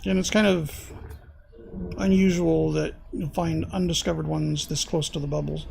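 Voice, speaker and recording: Very gravelly, hoarse male game streamer. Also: fairly high pitch, fairly even intonation, a little mellow, slight background noise. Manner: thinking aloud, unhurried, frequent throat clearing. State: hoarse, losing his voice. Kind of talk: casual gameplay commentary.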